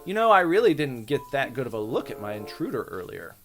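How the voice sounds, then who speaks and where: A man's voice: mid-range voice, big pitch swings, fast, fairly bright tone, faint music behind. A man voicing a cocky, lecherous male ghost in a grumbling, theatrical reading of a visual novel. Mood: scheming.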